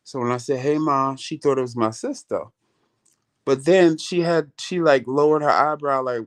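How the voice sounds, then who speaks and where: Light-voiced man telling stories casually. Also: on the high side. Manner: animated, swears freely, greets chat viewers by name. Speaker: vlog host. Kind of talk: casual storytelling chat.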